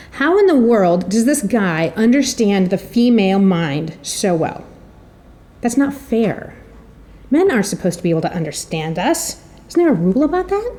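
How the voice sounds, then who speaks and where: Woman speaker with a mellow, theatrical delivery. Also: mid-range voice. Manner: theatrical, rhetorical questions and pauses. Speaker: editor and marketing director introducing an author. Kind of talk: prepared introduction speech, dramatic storytelling delivery. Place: room at a public presentation.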